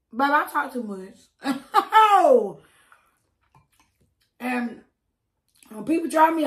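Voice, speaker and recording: A woman's voice: on the high side, a bit bright, roomy sound. Chatty woman eating on camera. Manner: chatty, preachy asides, laughs often. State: slightly hoarse. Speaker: mukbang creator. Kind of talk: casual eating-show chat.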